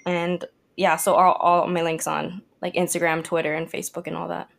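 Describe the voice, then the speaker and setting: A woman's voice: on the low side, little pitch movement, normal speed, creaky, fairly bright tone, a little hiss or hum. A young woman from Hawaii, a cook, chatting as a podcast guest in a friendly, casual way, full of "like" and "uh". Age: young adult. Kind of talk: casual interview chat.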